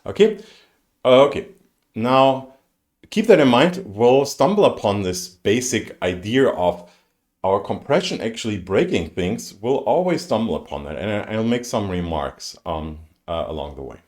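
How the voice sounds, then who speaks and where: German-accented male lecturer, very animated pitch. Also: moderate pace. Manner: informal, thinking aloud with asides. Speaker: university lecturer. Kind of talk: explanatory lecture to students. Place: indoors, live-streamed lecture over slides.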